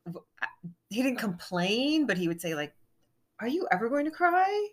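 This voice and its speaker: A woman co-hosting a podcast in casual chat, direct and straightforward, with measured delivery. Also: a little high, very animated. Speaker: podcast co-host.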